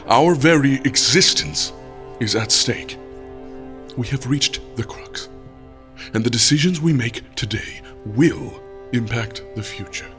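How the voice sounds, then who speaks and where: Solemn leader giving a big speech, slow and measured, bit menacing, soft music behind. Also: male voice, medium pitch. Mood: resolute. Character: commanding male leader addressing a council. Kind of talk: audio drama acting.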